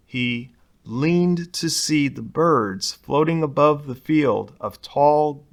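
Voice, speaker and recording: A man's voice: on the high side, fairly bright tone. A man reading a children's picture book aloud expressively, putting dramatic emphasis on key words. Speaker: storybook reader.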